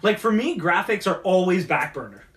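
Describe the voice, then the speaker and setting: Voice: mid-range voice, sing-song, moderate pace. A talk show host chatting casually in a rambling, hesitant way. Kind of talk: casual chat. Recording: distant mic.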